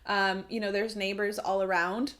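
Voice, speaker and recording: Young woman doing a casual how-to vlog, chatty, normal pitched voice. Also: level tone, moderate pace, a bit bright. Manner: chatty, explanatory. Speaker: voice actor and video creator. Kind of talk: casual tutorial vlog to camera.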